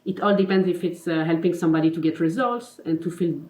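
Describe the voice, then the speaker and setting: Swiss-French woman, low voice, roomy sound. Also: fast, mellow. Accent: French-speaking Swiss. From Geneva, Switzerland. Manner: chatty, laughs often, many fillers like "you know" and "like". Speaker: guest, confidence and life coach. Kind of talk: casual interview chat.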